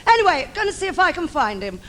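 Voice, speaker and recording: A woman's voice: very high-pitched, very animated, fast talker, sharp tone, exaggerated voice. An actress playing a cheerful, cheeky young principal boy in an adult stage pantomime, with theatrical, saucy delivery to the audience. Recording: noisy live theatre stage recording.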